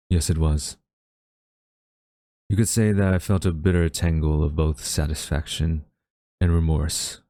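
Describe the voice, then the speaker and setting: A male actor plays a haughty government agent with a low, gravelly voice. He addresses his captive former friend with smug, theatrical deliberation and an undertone of regret, in a very dry, close audio drama recording. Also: warm tone, no room sound. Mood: bitter, wistful. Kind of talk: dramatic audio roleplay monologue.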